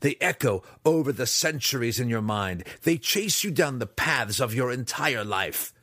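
A man's voice: mid-range voice, lively intonation, moderate pace, a bit bright, no echo, studio quality, voice pushed up. A man voicing a growling, taunting drow weapons master. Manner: growling, derisive.

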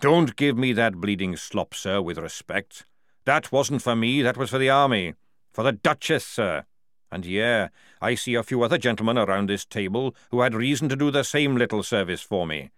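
A man voicing a fierce, loyal old male army sergeant who barks his words in a forceful parade-ground manner. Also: mid-range voice, expressive, normal speed, dry recording, studio quality, natural voice. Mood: roaring, defiant.

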